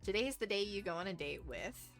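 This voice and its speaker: A woman's voice: medium pitch, animated, brisk, a bit bright, faint music behind. A woman voicing a brash, hungover woman producer in a visual novel, groggy, sarcastic and sweary. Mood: wry, hungover.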